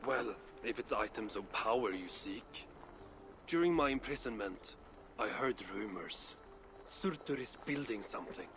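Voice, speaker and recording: An actor playing a proud, foul-mouthed dwarf craftsman in a video game, gruff and sardonic. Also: on the low side, lively intonation, measured pace, a bit bright, slight background noise. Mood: earnest, scheming. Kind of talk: cinematic video game acting.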